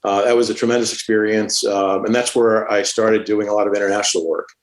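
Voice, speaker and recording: A middle-aged man, born in Cuba and raised in New York, telling his career story as a podcast guest in a measured way, with many "uh" fillers. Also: lowish voice, level tone. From born in Cuba, grew up in Staten Island, New York. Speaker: podcast guest, supply chain executive. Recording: echoey room.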